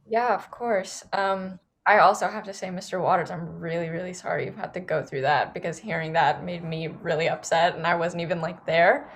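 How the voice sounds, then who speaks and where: A woman's voice: lowish voice, steady tone, a little fast, hardly any pauses. A young woman from Singapore and Los Angeles telling a personal story as a podcast panel guest, in casual youthful phrasing peppered with "like" and "you know".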